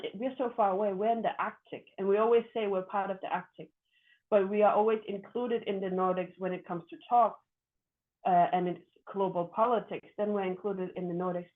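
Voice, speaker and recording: An earnest woman speaks with feeling and some hesitation, her voice neither high nor low. The sound is thin and narrow, like a phone line or a video call. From Greenland. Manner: earnest, impassioned, frequent hesitations. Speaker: panelist. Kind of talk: panel discussion.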